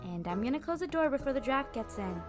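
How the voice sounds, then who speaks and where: A woman's voice: sits high, lively intonation, fast talker, over music. An actress playing a guarded, sardonic young woman in an audio roleplay drama, with a dry, exasperated delivery. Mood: dismissive, tired. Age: young adult.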